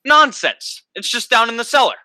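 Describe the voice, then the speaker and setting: A man's voice: very high-pitched, very animated, normal speed, pushed and bright, no room sound, full character voice. A young man in an amateur radio play, playing a deluded, bombastic fellow who pompously believes he is the president. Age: young adult. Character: blustering deluded man who thinks himself President Roosevelt.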